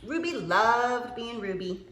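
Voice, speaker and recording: A woman preschool teacher reading a picture book aloud to young children, expressively, with dramatic pauses and stretched-out words. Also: on the high side, expressive, a bit bright, roomy sound.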